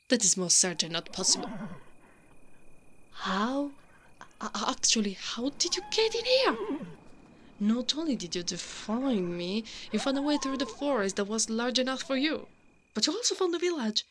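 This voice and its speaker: A woman's voice: on the high side, big pitch swings, normal speed, pauses often, a bit bright, a little hiss or hum. Brash, protective woman knight, acted by a woman. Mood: exasperated. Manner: defiant and exasperated, slipping into flustered stammers. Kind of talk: ASMR roleplay acting.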